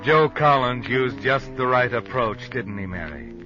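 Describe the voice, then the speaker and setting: An actor playing a knowing, ominous narrator, telling a 1950s radio suspense story in sardonic, dramatic style. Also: male voice, mid-range voice, expressive, measured pace, lightly acted. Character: knowing, ominous male narrator addressing the heroine. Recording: muffled 1950s radio recording. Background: music.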